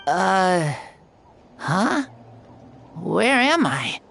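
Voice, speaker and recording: A woman's voice: on the low side, very animated, gravelly, fairly bright tone, slight background noise, heavily acted. A woman voicing a frail, earnest young child in a video game, speaking hesitantly and with wonder. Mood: confused. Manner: hesitant, halting.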